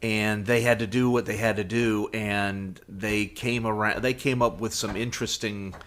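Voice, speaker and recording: Bright-voiced man in his fifties, podcast co-host. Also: fairly low pitch, steady tone, normal speed. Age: 50s. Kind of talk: casual reminiscing fan chat.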